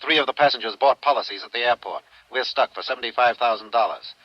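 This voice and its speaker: A man's voice: mid-range voice, fast, no echo, muffled recording. An actor playing a terse, businesslike insurance man calling on the phone in an old radio drama.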